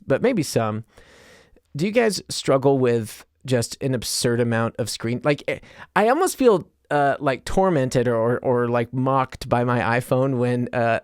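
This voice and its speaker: A man's voice: medium pitch, smooth, no echo, studio quality. A man hosting a solo podcast in a casual, rambling, conversational and self-deprecating way, like a stand-up comic chatting to listeners. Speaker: podcast host and stand-up comedian.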